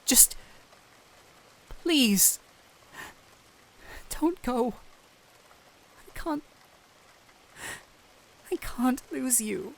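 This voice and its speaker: Woman as kind, worried fantasy doctor for audio drama; slow, earnest, halting delivery, rain behind the voice. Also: fairly high pitch, vocal fry, a little hiss or hum, exaggerated voice, rough recording. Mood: pleading, tender. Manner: earnest, hesitant, pleading with broken phrases. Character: gentle, anxious human doctor pleading with a small cat-thief. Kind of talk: dramatic audio roleplay addressed to the listener. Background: rain ambience.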